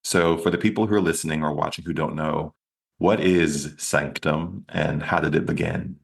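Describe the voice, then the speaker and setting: A man hosting an interview show, reading a measured scripted introduction and then asking questions. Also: a bass voice, fairly even intonation, vocal fry. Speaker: podcast host.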